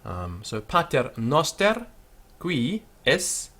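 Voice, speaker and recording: A man giving an unscripted pronunciation lesson, explaining with fillers and then slowly sounding out words to demonstrate them. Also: mid-range voice, huge pitch swings, pushed and bright. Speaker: Latin teacher.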